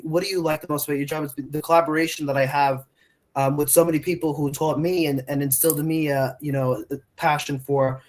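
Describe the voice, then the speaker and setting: An earnest man in his twenties, a Spanish teacher who grew up in New York speaking Portuguese at home. He talks with a voice a little higher than most men's, circling his points with fillers and restarts in a relaxed interview. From New York, United States. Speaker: middle school Spanish teacher. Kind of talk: casual interview answers.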